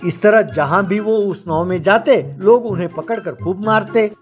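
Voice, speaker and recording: A man narrating a cartoon story in a steady, plain storytelling voice. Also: sits high, normal speed, soft background music.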